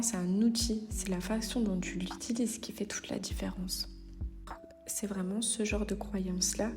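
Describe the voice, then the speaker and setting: A woman hosting a motivational podcast, speaking straight to the listener in a familiar, direct way with the odd hesitation. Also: mid-range voice. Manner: direct, addresses listener informally, occasional hesitations. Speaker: podcast host. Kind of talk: motivational solo podcast talk.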